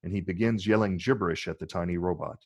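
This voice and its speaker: A man's voice: sits low, fast talker, vocal fry, darkish tone, slightly rough. A man narrating stage directions in a scripted reading.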